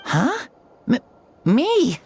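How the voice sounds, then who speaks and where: A woman's voice: high voice, huge pitch swings, darkish tone, soft background music, exaggerated voice. A woman voicing a timid, earnest young child in a video game, hesitant and full of wonder. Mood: groggy, confused. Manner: hesitant, unhurried.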